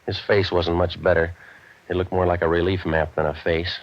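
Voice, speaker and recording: An actor playing a cynical, wisecracking private eye in a 1940s radio drama, with deadpan, tough-talking delivery. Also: male voice, deep voice, moderate pace, lightly acted. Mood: wry, deadpan. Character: hardboiled, wisecracking male private eye narrating his case. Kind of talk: 1940s radio detective acting and noir narration. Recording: dull vintage radio recording.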